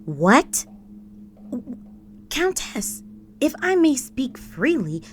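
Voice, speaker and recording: A woman's voice: high voice, sing-song, very slow, slight background noise, voice pushed up, full character voice. A woman voicing a deferential human guard serving a vampire countess, formal and earnest, in a scripted audio roleplay. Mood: urgent, protesting.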